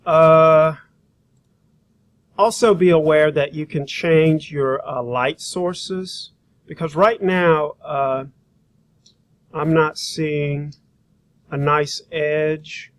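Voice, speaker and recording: A man with a slightly high voice gives a hands-on software tutorial as a design instructor. He talks informally, thinking aloud while he works and explaining steps as he goes. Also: steady tone, very slow, pauses often.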